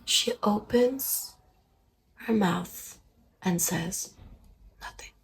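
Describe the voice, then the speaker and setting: A soft-spoken female therapist, played by a woman in an ASMR meditation parody. She speaks very slowly and soothingly, often in a breathy whisper with a creaky edge. Her delivery stays calm and deadpan. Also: mid-range voice. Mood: calm, hypnotic. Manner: slow, soothing, hushed, deadpan. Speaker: ASMR performer.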